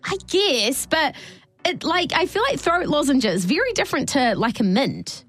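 A woman's voice: high-pitched, sing-song, unhurried, fairly bright tone, no room sound, soft background music. A woman co-hosting a radio show, telling a rambling story in casual on-air chat, laughing often, her voice a little worn. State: slightly losing her voice. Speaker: radio co-host.

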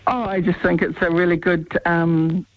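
A woman giving an earnest, halting comment as a radio listener. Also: lowish voice, flat delivery, no room sound. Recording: muffled lo-fi played-in clip.

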